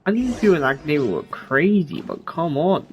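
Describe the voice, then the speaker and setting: A man's voice: on the high side, animated, brisk, lo-fi sound, soft background music. A man giving casual, offhand gameplay commentary with a wry manner, as a game streamer.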